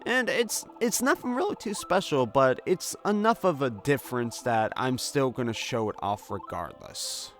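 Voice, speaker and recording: A man's voice: medium pitch, lively intonation, moderate pace, hardly any pauses. Casual male gamer over game music. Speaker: host of a game playthrough series. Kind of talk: casual let's play commentary. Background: video game music.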